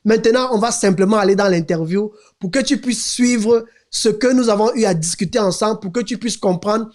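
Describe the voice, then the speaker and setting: A man's voice: high voice, a little fast, darkish tone. A male podcast host who introduces his guest and asks the questions. He often repeats words while he searches for them, and he keeps the guest going with short replies. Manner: hesitant, repeats words, many short backchannels.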